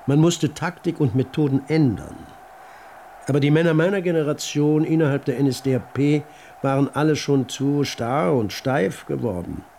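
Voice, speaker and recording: An actor playing a sardonic narrator recounting his past in florid, deliberate literary radio drama style. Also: fairly high pitch, vocal fry, warm tone, a little hiss or hum. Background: music.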